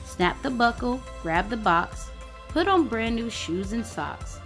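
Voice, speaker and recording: A woman reading a rhyming picture book aloud for children in an expressive, sing-song way. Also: on the high side, takes it slowly. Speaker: children's story reader. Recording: music bed under the voice. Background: background music.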